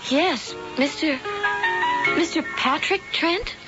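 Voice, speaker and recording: A woman's voice: high voice, slow. An actress playing a naive young woman in a 1970s radio drama, polite and prone to stammering when flustered. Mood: earnest. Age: young adult. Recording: dull old radio broadcast with music.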